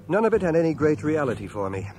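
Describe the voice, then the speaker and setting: An actor playing a war veteran who soberly recounts his battle memories in measured, articulate, literary storytelling for a radio drama. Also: on the high side, very animated, over music. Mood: sober, reflective. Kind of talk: dramatized first-person narration, radio drama. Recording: noisy cassette of 1980 radio drama.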